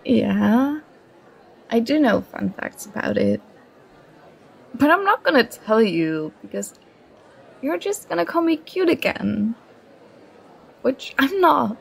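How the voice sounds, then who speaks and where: A woman's voice: fairly high pitch, very animated, gravelly, husky, exaggerated voice, poor audio. A young woman playing a nerdy, affectionate girlfriend who gets flustered and bashful, in an ASMR roleplay. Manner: bashful, halting, playfully protesting.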